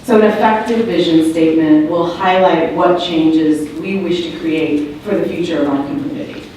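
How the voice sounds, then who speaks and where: A woman with a loud voice, patiently explaining the steps of a public meeting activity. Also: deep voice, normal speed, slight background noise. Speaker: meeting facilitator. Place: large meeting room. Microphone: far room recording. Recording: echoey room from far off.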